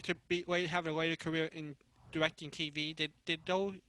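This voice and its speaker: A man's voice: a little high, level tone, fairly quick, pushed and bright. A man co-hosting a panel, asking questions casually and keeping track of time. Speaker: panel co-host. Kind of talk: casual interviewing.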